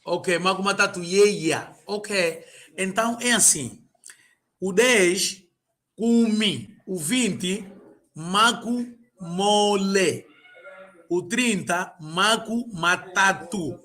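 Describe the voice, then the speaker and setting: A man's voice: high-pitched, extremely slow, bright, forceful tone. A man tutoring a student in an informal lesson, patiently repeating and drilling words. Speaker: language tutor.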